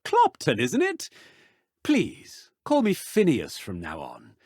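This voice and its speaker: A man's voice: fairly high pitch, huge pitch swings, takes it slowly, darkish tone, slightly rough, dry recording, studio quality, exaggerated voice. A man voicing a pompous, theatrical middle-aged British headmaster in a video game, with an imperious manner. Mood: haughty. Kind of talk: video game character dialogue.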